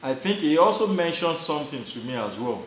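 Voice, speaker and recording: A man's voice: a little high, very animated, brisk, echoey room, slight background noise, poor audio. A Nigerian man giving casual advice to his viewers, often repeating and restarting his phrases with small chuckles. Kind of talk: casual advice talk to camera.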